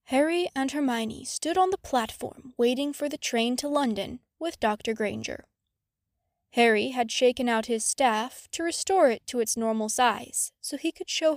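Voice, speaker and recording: A woman's voice: high-pitched, no room sound, clean studio sound. A woman reading a story aloud as scripted audiobook narration. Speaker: fan fiction audiobook narrator.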